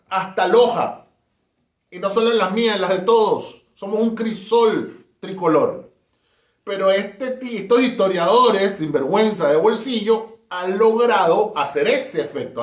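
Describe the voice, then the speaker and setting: A man from Caracas with a Venezuelan accent, giving an emphatic, rhetorical talk on history. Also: sits high. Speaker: history presenter. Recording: roomy echo.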